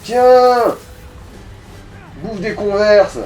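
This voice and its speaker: Dude w soft dark-ish voice coaching a gamer, slangy n crude, faint music behind. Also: male voice, high-pitched, very slow. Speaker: gaming co-commentator giving advice. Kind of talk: casual gaming commentary.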